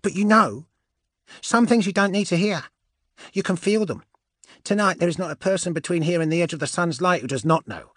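A man's voice: high voice, fairly quick, fairly bright tone, no echo, studio quality, pitched above the performer's own voice. A man voicing a cool, mocking gene witch woman in a science fiction audiobook, speaking in a wry, portentous and self-assured manner. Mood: knowing. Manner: wry, portentous, sure of herself.

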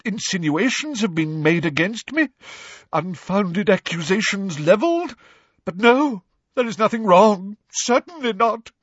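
A man voicing a theatrical older woman teacher in an audiobook, high-pitched and wavering, melodramatic and wounded. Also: lively intonation, measured pace, slightly rough, no room sound, highs cut off, exaggerated voice. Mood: wounded, indignant. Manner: melodramatic, self-pitying, grandly wounded. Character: hysterical, theatrical older female divination teacher.

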